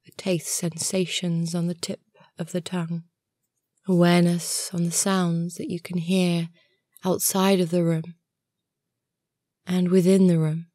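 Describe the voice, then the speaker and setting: A woman guiding a meditation in a soothing, gentle and unhurried manner, soft and breathy at the ends of phrases. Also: fairly low pitch, flat delivery, dry recording, clean studio sound. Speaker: yoga nidra meditation guide, channel host. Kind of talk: guided meditation narration.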